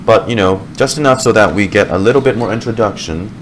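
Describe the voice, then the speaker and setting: A man's voice: fairly low pitch, quick pace, background noise. A man giving casual gaming reaction commentary, informal and rambling as he thinks aloud. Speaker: gaming reaction video host. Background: game music.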